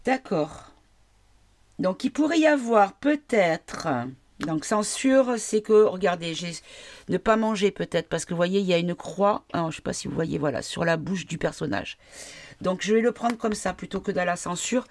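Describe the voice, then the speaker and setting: A woman's voice: lowish voice, animated, moderate pace, a little mellow. A woman with a cold, reading tarot cards for her viewers in a casual, hesitant way, often asking the cards questions aloud. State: has a cold. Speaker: tarot card reader.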